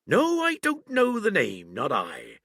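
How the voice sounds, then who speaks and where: A man's voice: sing-song, unhurried, vocal fry, sharp tone, no room sound, studio quality, full character voice. Long John Silver, a man putting on a blustering cook. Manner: blustering, booming sea-dog bluster. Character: hearty old one-legged male pirate cook.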